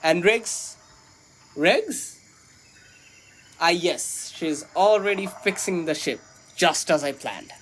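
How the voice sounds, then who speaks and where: Man playing a high-voiced, pompous space captain. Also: expressive, slow pace, long pauses, a bit bright, a little hiss or hum, exaggerated voice. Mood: commanding, unfazed. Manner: grandiose, self-important. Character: pompous, bumbling male starship captain, self-styled hero. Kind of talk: sci-fi comedy radio acting.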